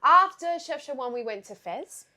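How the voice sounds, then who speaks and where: A woman's voice: high-pitched, normal speed. A young woman with an Australian accent chatting casually as a podcast co-host, telling travel stories in a giggly, slangy way. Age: young adult. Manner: giggly, animated, slangy, acts out quotes. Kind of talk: casual chat, travel storytelling.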